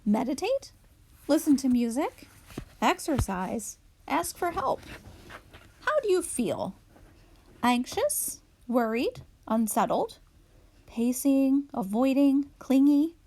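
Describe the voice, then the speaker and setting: A woman reading a children's picture book aloud in an expressive storytelling manner for young children. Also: sits high, slow pace.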